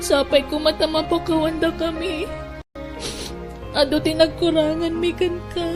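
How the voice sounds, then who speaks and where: A woman voicing a contrite younger sister in a radio play, speaking earnestly in a very high voice. Music plays clearly beneath her. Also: little pitch movement, unhurried. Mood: pleading. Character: remorseful younger sister, pleading.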